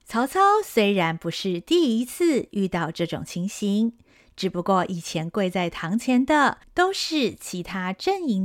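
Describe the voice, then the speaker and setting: Expressive woman narrating a radio drama. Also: mid-range voice, no echo, studio quality. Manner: expressive, storytelling tone. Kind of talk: scripted storytelling narration.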